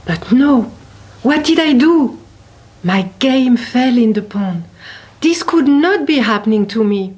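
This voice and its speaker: A woman reading a children's story aloud expressively, with deliberate, dramatic emphasis on key words. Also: on the high side, lively intonation, a little mellow. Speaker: picture book reader at a library.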